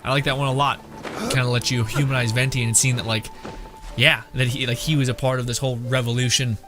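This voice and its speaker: A young man giving casual, rambling gameplay commentary as a streamer, thinking out loud. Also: mid-range voice, flat delivery, quick pace, soft background music. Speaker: game streamer.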